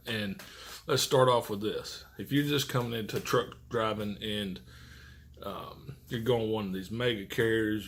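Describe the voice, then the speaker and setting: An American man who works as a truck driver, giving casual, rambling tips in blunt, profane, folksy vernacular. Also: mid-range voice. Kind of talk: casual rambling vlog monologue.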